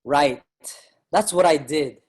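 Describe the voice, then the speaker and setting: A man's voice: fairly high pitch, slow, slightly rough. An actor playing an earnest immigrant writer, a man in a dramatic staged reading. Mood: reflective. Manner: reflective storytelling, pleading when alarmed. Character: Allos, earnest, ailing Filipino immigrant writer, younger brother. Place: online virtual staged reading.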